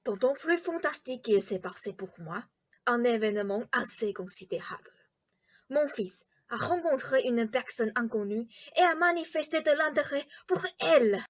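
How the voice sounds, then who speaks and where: A woman's voice: fairly high pitch, lively intonation, moderate pace, dry recording. A woman playing a courteous, eccentric king and doting father, speaking formally and over-politely in a radio play. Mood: courteous, confiding. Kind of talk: theatrical radio play acting.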